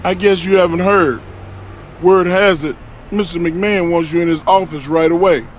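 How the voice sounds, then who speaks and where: Man as gloating, slightly high-voiced wrestler. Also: fairly even intonation, moderate pace, slight background noise, close to an everyday voice. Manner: taunting, mock-friendly. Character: gloating, mocking male wrestler. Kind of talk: scripted video game cutscene acting.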